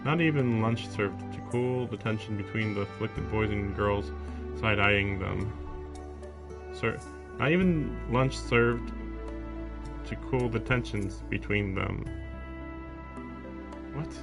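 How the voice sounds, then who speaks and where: A man with a low, creaky voice streams a visual novel over game music. He reads the text aloud like a narrator, then breaks off into casual, sarcastic remarks. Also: slow pace, long pauses. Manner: sarcastic asides. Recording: music behind the voice.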